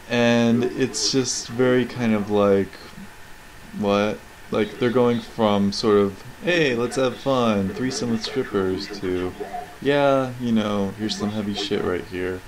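A man's voice: on the low side, low-quality recording. A man giving casual, unscripted commentary on video game cutscenes in a rambling, questioning, critical way. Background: game cutscene audio and music.

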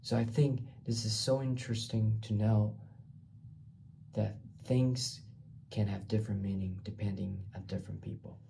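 A young man from Taiwan, a student recording a reading response for class, talking haltingly and repeating words while he searches for them, on a webcam in a small bare room. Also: fairly low pitch, fairly even intonation, vocal fry, mellow, slight background noise. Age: young adult. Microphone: laptop webcam mic, framed from below.

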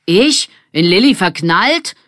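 A woman's voice: mid-range voice, lively intonation, slow pace, a bit bright, no room sound, studio quality, voice pushed up. A woman voicing an indignant boy, the boss of a gang, defensive and scornful.

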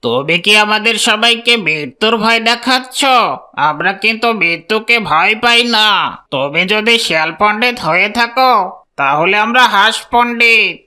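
Defiant cartoon goose leader voiced by a woman: bright, clean, ringing voice, emphatic and scolding. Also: mid-range voice, lively intonation, moderate pace. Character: plucky, defiant goose leading a flock, lecturing and clever. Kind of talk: Bengali children's cartoon voice acting.

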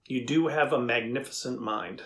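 Casting: middle-aged male researcher acted by a man, thoughtful and inquisitive, creaky husky voice. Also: mid-range voice, a bit bright. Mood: curious, kind. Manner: deliberate, inquisitive. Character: thoughtful, curious male AI researcher interviewing a machine. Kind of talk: dramatized transcript reading. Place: indoors before a studio backdrop.

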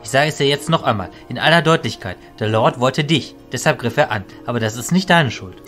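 Man playing a calm, stern mentor. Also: mid-range voice, faint music behind, natural voice. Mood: firm. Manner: measured, firm, instructive.

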